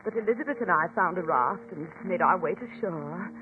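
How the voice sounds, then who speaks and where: Old radio drama recording, phone-thin, music behind, guarded elderly spinster acted by a woman, low-voiced for a lady, formal. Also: animated, phone-quality sound, lightly acted. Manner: formal, measured, genteel. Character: guarded elderly spinster, protective older-seeming sister. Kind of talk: 1940s radio acting.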